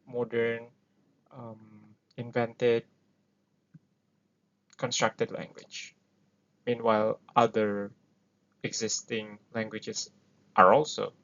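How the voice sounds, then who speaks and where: Slow, gravelly man with an Indonesian accent. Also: medium pitch, a bit bright. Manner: hesitant, thinking aloud with many fillers. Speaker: host. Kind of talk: casual explainer talk. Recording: dull lo-fi recording.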